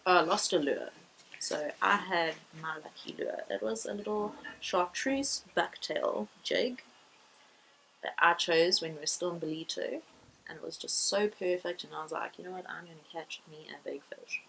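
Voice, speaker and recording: A woman's voice: fairly low pitch, normal speed, creaky, fairly bright tone, slight background noise, low-quality recording. A young woman chatting casually to the camera outdoors at a marina, in a laid-back, self-correcting way. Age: young adult. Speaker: sailing vlogger. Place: outdoors at a marina café table.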